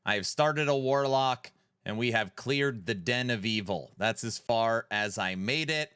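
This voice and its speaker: A man's voice: mid-range voice, expressive, a little slow, a bit bright, clear, resonant tone, dry recording, clean studio sound. A man in his forties hosting a casual live stream, chatting and reading viewer messages aloud. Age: 40s. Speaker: streamer host.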